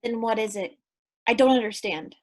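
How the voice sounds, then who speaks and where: An actress in a staged play reading, playing an eager, dim, impatient recruit who talks in slangy, insistent bursts. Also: female voice, fairly high pitch, moderate pace, darkish tone, lightly acted. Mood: frustrated.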